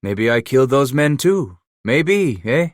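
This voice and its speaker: A hulking, scarred frontier killer, as a male narrator puts him on, mangling his English with a mocking, menacing edge. His voice sits higher and louder than the narrator's own, and the sound is close and dry. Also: big pitch swings, slow pace, dry recording. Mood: taunting. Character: giant scarred French-Canadian frontier killer, cunning, playful.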